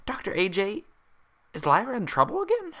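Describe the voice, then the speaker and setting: Man doing a bouncy childlike girl pony, high-pitched, gushing, eager, animated, storybook character. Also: brisk, full character voice. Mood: worried. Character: bouncy, childlike excitable female pony patient.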